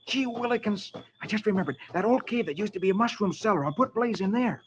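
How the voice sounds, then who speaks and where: An earnest little farm boy from an old radio serial , voiced by a man. He is high-pitched and excitable, swinging up and down. The sound is muffled and noisy. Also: fast. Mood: eager. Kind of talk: 1940s children's radio comic-strip reading.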